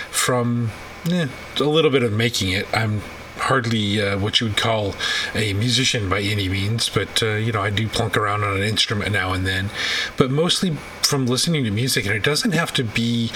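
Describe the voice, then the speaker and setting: A man with a fairly low, very bright and forward voice hosts a casual interview. He rambles and hesitates as he feels out his sentences. He sounds a little way from the microphone, with faint noise behind him. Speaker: podcast host. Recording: distant mic, faint background noise.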